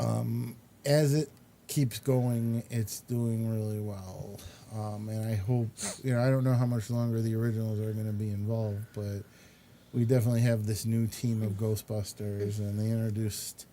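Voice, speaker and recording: A man's voice: on the low side, warm tone, slight background noise. A man chatting casually and opinionatedly about pop culture, rambling as he explains and throwing in exasperated asides. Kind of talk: casual chat about films and comics.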